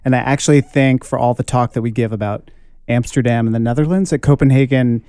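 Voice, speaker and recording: A man co-hosting a podcast who speaks in a measured, thoughtful way. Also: mid-range voice, mellow, clear, resonant tone, dry recording, studio quality. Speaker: podcast co-host. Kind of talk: casual chat.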